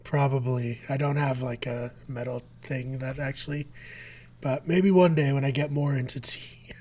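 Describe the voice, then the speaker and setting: A man co-hosting a casual podcast whose voice sounds worn out and close to giving out. He keeps his replies short and laid-back, often dropping to quiet asides. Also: medium pitch, fairly even intonation, moderate pace. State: tired, nearly lost voice. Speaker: podcast co-host. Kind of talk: casual chat.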